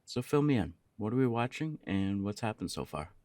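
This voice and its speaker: A man's voice: lowish voice, very animated, fairly quick, a little mellow, no room sound. A young man playing an easygoing, affectionate punk roommate in an intimate ASMR roleplay, chatting casually and teasingly. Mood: playful, amused. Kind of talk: intimate ASMR roleplay, one side of a conversation.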